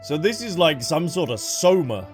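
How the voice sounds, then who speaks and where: A man's voice: on the high side, sing-song, normal speed, music in the background. A man giving casual, jokey, exclamatory reaction commentary as a gaming streamer.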